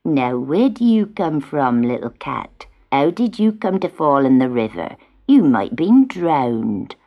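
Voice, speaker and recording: Storybook farmer's wife, motherly, soft and low, slightly husky, lo-fi sound, read by a woman. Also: big pitch swings, unhurried, heavily acted. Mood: tender. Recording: muffled lo-fi recording.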